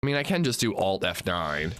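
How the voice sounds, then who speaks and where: A man livestreaming and chatting casually with viewers, a bit congested, swinging between upbeat shout-outs and low mumbles. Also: very animated, a little fast, background noise.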